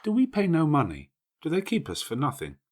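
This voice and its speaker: A man's voice: on the high side, huge pitch swings, brisk, pitched above the performer's own voice. A man voicing a young, curious orphan girl who asks eager questions in an audiobook. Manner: inquisitive, questioning.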